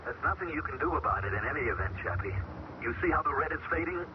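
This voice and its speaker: An actor playing an airman taken over by an alien intelligence in an old radio drama, speaking in a calm, detached way. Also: medium pitch, quick pace, natural voice. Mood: eerie calm. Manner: calm, detached and hollow, then pleading and broken. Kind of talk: 1970s radio drama acting. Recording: muffled, hissy old radio broadcast.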